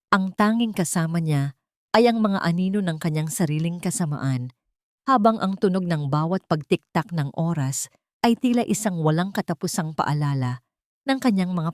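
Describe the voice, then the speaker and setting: A low-voiced woman narrates an audio drama from a script. Her delivery is measured and sentimental, and the recording is close and dry. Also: darkish tone, no room sound.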